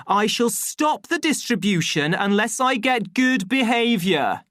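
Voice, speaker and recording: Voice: medium pitch, big pitch swings, slow, a bit bright, no echo, studio quality, voice pushed up. A reader playing a fussy young male official in an audiobook, officious and bossy yet timidly polite. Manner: clipped, officious commands and coaxing pleas.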